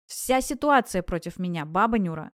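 A woman's voice: fairly low pitch, animated, moderate pace, dry recording, clean studio sound, lightly acted. A woman voicing an anxious young female student in an audiobook, confiding her worries earnestly and vulnerably. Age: young adult. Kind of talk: audiobook character voice.

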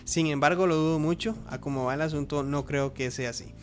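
Casting: Costa Rican man, lightly high voice, informal halting radio host over faint music. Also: steady tone. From Costa Rica. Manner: halting, repeats words while searching.

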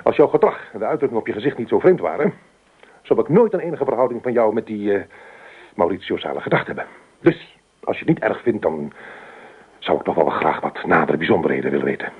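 Male radio-drama role: suspicious, nagging husband, husky voice with wide swings in pitch, dull lo-fi sound. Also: lively intonation, quick pace. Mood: sardonic, probing. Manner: sarcastic, nagging, accusatory. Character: jealous, suspicious husband, mocking, mother-devoted, easily panicked. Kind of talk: radio play acting in dialogue.

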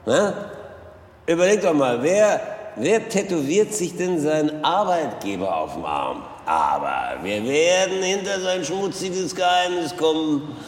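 Echoing from a distance, an erratic male detective in a radio crime play. He is self-important and insistent, halting and slow, with a fairly high, theatrical voice. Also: lively intonation. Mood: conspiratorial, gleeful. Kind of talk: German radio drama acting. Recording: echoey, reverberant, off the mic.

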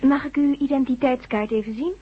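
An actress playing a polite, businesslike library clerk in a radio drama, formal and courteous. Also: female voice, sits high, fairly even intonation, fairly quick, breathy edge. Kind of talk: Dutch radio drama acting. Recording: dull, noisy old radio recording.

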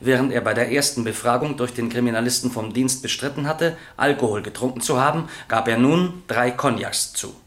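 An actor as a dry, precise police witness, roomy sound. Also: male voice, medium pitch, a bit bright, natural voice. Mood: dry, factual. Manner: officialese, factual, deliberate. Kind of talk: courtroom radio drama acting. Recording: roomy, echoing radio drama studio.

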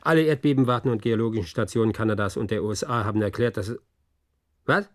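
An actor playing a weary, sarcastic police officer in a German radio play, grumbling with a gravelly voice. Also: male voice, lowish voice, fast, dry recording, clean studio sound, lightly acted. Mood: brusque, bored. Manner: sardonic, grumbling.

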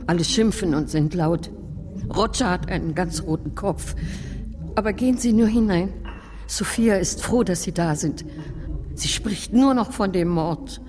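A woman's voice: medium pitch, long reverb tail, close to an everyday voice. A woman playing a fretful, gossipy old family nanny in a German radio play, homely and grumbling.

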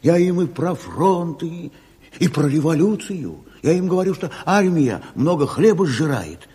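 An earthy grain-requisition agent with a very raspy, breathy voice and swooping, lively intonation. He talks in folksy, worried bursts, repeating himself, in a Soviet radio drama recorded with long hall reverb. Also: on the high side, unhurried, heavily acted. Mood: exasperated. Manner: colloquial, folksy, repeats words for emphasis.

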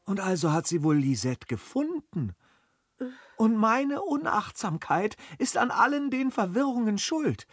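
Upright gentleman, fairly high voice, animated, formal, earnest, dry studio radio play. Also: measured pace, no room sound, heavily acted. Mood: ashamed. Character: upright gentleman traveler, hasty but remorseful master. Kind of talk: German radio play acting of a classic comedy.

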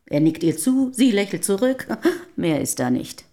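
An actress in a German radio play playing a proud, self-assured middle-aged wife of a poet, speaking in formal, measured period diction. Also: female voice, mid-range voice, very animated, mellow. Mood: dismissive, assured.

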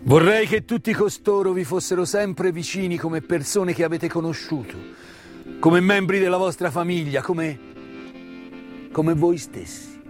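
Solemn stage monologue, man over music. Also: high voice. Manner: solemn, emphatic, rhetorical phrasing with deliberate pauses. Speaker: stage actor performing his own monologue. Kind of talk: dramatic theatrical monologue, solemn commemorative storytelling. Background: live musical accompaniment.

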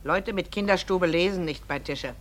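An actress playing a snobbish, scornful wife in a literary radio drama, with a haughty and cutting manner. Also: female voice, fairly low pitch, moderate pace, close to an everyday voice.

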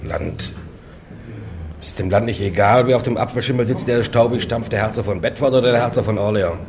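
A man's voice: medium pitch, brisk, background noise, natural voice. An actor playing a doubtful townsman in a crowd, grumbling his questions.

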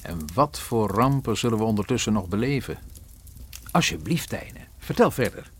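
An actor playing an eager, curious gentleman in a radio play, pressing for more of the story. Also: on the low side, moderate pace, husky. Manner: pressing, lively.

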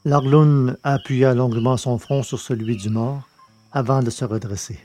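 A man narrates a novel in a measured, deliberate way. His voice is mellow and dark-toned, set at an average pitch for a man. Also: fairly even intonation, soft background music. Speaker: audiobook reader. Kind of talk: scripted narration of a science-fiction novel.

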